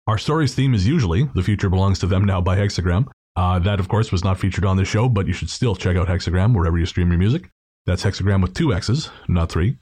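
A man's voice: deep voice, fast talker, darkish tone, slightly rough. A man hosting a podcast, chatting casually and informally through thanks and plugs. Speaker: podcast host.

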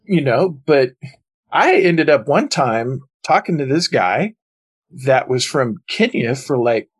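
A man's voice: fairly high pitch, breathy edge. A man from the United States co-hosting a podcast, telling stories in a curious, enthusiastic way. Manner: curious, enthusiastic storytelling with animated swings. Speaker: podcast co-host. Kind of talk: casual conversation.

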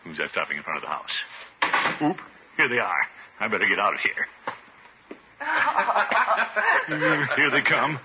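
Pompous, swooping old-radio bachelor. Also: male voice, medium pitch, sing-song, measured pace, pauses often. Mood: flustered. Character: pompous middle-aged bachelor, flustered, sentimental, wistful about women. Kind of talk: 1950s radio comedy acting. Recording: noisy, muffled old radio broadcast.

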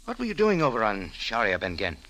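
A man's voice: fairly low pitch, huge pitch swings, fairly quick, a bit bright, a little hiss or hum, poor audio. A man acting in a 1940s radio drama as a tough, wry American cafe owner turned sleuth, with an American accent and clipped hardboiled delivery. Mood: probing, cool. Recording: vintage radio broadcast with music.

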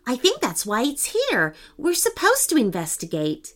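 A woman voicing a gentle, curious young boy in a children's storybook, speaking politely and carefully. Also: fairly high pitch, huge pitch swings, moderate pace, heavily acted.

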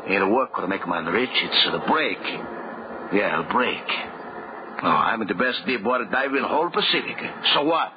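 An actor playing a scornful, money-hungry immigrant diver with an Italian accent and broken English, in 1940s radio style. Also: male voice, fairly low pitch, animated, moderate pace, natural voice. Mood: boastful, bitter. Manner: broken English, impatient, dismissive. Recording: muffled noisy 1940s radio recording.

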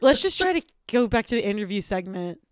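A woman with a deep voice, deadpan and given to giggles, interviewing people in an improvised comedy sketch. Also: fast, smooth, soft background music.

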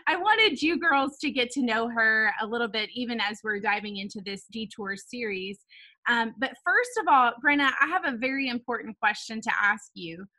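Woman, 30s, podcast host, chatty and giggly, slightly high voice. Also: moderate pace, pushed and bright, low-quality recording. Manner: bubbly, laughs often, fillers like "um" and "like". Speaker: podcast host, founder of a girls' ministry. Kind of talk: casual chat. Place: home, talking over a video call.

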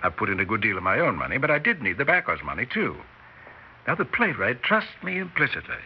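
An actor playing a smooth-talking, flattering theatrical con man, grandiose and persuasive, in a 1960s radio drama. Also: mid-range voice, fast. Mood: regretful, hesitant. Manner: grandiose, persuasive, falsely reluctant. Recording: faintly noisy, dull 1960s radio recording.

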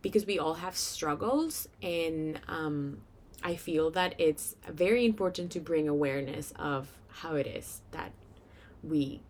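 A young Venezuelan woman hosts a casual solo podcast in a slightly low voice. She has a Venezuelan Spanish accent from her first language. Her delivery is chatty and informal, with a giggly streak. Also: a little slow, darkish tone, slight background noise. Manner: chatty, informal, giggles often while talking. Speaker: podcast host.

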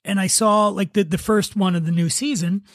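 A man's voice: high-pitched, steady tone, moderate pace, dry recording, studio quality. A man hosting a podcast in casual comic chat, with a joking, self-deprecating manner. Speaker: podcast host.

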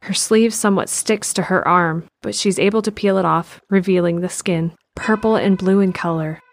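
A woman narrating an audio drama in a calm, measured way. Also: on the low side, creaky.

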